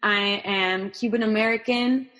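A woman's voice: medium pitch, steady tone, unhurried, big-room sound, low-quality recording. A woman who grew up in Miami with Spanish as her first language, a guest talking casually and thoughtfully about herself, with frequent restarts. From Miami, Florida, US. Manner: thoughtful, restarts often, warm laughs. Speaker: guest, performing artist. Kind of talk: casual interview talk.

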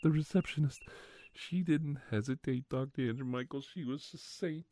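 A man's voice: mid-range voice, moderate pace, dark tone, dry recording, voice pushed up, natural voice. A man voicing a flustered, nerdy young man in thick glasses who rambles in an overwrought, breathless way. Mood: distraught. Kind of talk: ASMR roleplay storytelling.

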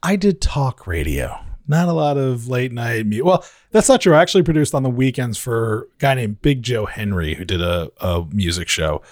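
A man with a deep voice chatting casually on a podcast, reflective and self-deprecating. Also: big pitch swings, normal speed, few pauses, darkish tone, no room sound, clean studio sound. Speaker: podcast host.

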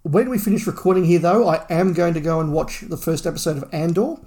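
Podcast co-host, male, noticeable vocal fry, measured and conversational, informal fan talk. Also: fairly high pitch, mellow. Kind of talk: casual fan podcast chat, reading listener email.

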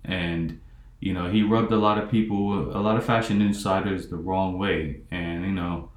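A man in his forties hosting an interview podcast, talking reflectively with frequent pauses and fillers. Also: low voice, steady tone. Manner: reflective, halting, many fillers. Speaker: podcast host. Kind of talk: casual interview talk. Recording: echoey room.

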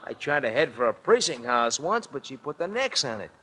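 A man's voice: mid-range voice, sing-song, fairly quick, pushed and bright. A man acting a streetwise New York cab driver in a 1940s radio detective drama, with blunt, slangy working-class talk. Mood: worried, exasperated. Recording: noisy old 1940s radio recording.

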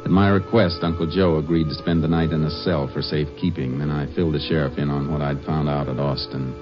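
A dull old radio recording with music behind it carries a Texas Ranger. His voice is very deep, American and measured, delivered with calm, businesslike authority in 1950s radio drama style. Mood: measured narrating. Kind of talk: 1950s radio drama acting with first-person narration.

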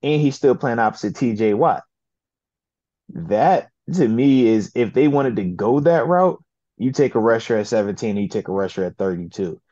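A man co-hosting a sports talk show, giving his picks in a laid-back, slangy, deliberate way. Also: medium pitch, lively intonation, warm tone, dry recording, dull audio. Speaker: co-host, football analyst. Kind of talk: casual sports chat.

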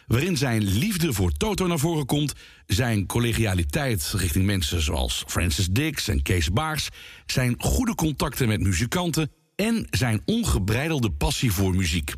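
Male podcast narrator, raspy and animated, delivering scripted links. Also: mid-range voice, a little slow. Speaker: podcast host.

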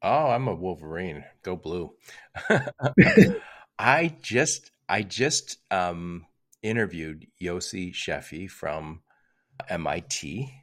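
A man's voice: low voice, huge pitch swings, takes it slowly, long pauses, fairly bright tone. An older American man hosting a casual interview, talking in a chatty, digressive way. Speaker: podcast host.

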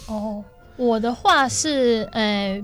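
Higher-voiced young Taiwanese woman chatting. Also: very slow, fairly bright tone. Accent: Taiwanese Mandarin. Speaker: guest, stage singer and actor. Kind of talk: casual interview chat. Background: music under the talk.

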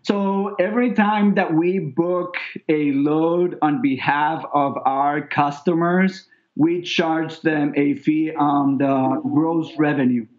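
Higher-pitched Venezuelan-accented man, slightly muffled. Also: fairly even intonation, takes it slowly. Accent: Venezuelan Spanish. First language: Spanish. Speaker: company founder, interview guest. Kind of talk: conversational explanation in an interview.

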